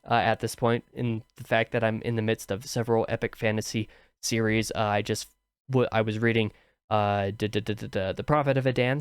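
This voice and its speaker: A man's voice: mid-range voice, clear, resonant tone, no echo. A young man hosting a casual book review, his voice tired and a little scratchy from talking all day, rambling with many fillers and restarts. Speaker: book review channel host.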